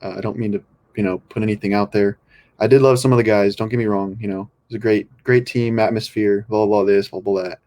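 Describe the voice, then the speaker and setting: A man's voice: fairly low pitch, fairly even intonation, fast, warm tone. A young man from California, a podcast guest, talking in a laid-back, rambling way with many ums. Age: young adult. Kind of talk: casual podcast chat.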